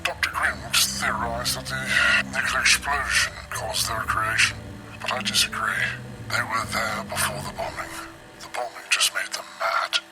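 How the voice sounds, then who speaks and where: A grave, guilt-ridden male scientist, voiced by an actor narrates a dramatic game log. His measured voice sits fairly low and turns breathy at times, with loud music throughout. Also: natural voice, rough recording.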